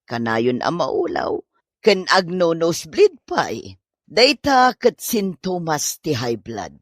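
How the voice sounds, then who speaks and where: A man's voice: fairly high pitch, very animated, takes it slowly, a bit bright, dry recording, studio quality, full character voice. A man with an Ilocano accent playing a patient, plain-speaking doctor in a comedy drama. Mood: advising.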